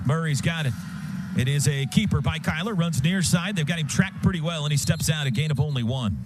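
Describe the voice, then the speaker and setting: An American man calling live football on the radio in clipped phrases, lifting with excitement as plays open up. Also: fairly high pitch, fairly quick. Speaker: radio play-by-play announcer. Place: stadium radio booth. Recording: loud stadium noise behind broadcast booth. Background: loud outdoor stadium ambience.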